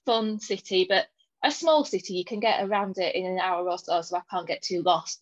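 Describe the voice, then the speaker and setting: A woman's voice: medium pitch, dry recording, lo-fi sound. Articulate woman with a mild Lancashire accent. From Lancashire, England. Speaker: author, guest. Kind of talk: interview answers.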